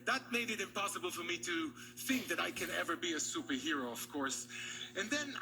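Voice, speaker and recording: A man from Israel doing magician's stage patter, his voice pitched high for a man. The clip has clear background noise. He talks to the crowd and to his guest on stage. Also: sing-song, a little fast.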